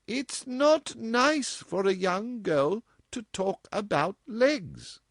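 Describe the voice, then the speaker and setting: A man reading aloud and voicing a gentle, prim mother who speaks hesitantly and with worry. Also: extremely high pitch, sing-song, dry recording, studio quality, voice pushed up, heavily acted. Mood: prim worried. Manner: prim, hesitant, slow and anxious.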